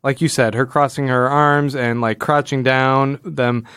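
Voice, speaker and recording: Clear-voiced man, casual podcast chat. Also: mid-range voice, little pitch movement, measured pace, fairly bright tone, dry recording, clean studio sound. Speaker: podcast host. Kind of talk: casual comic book analysis chat.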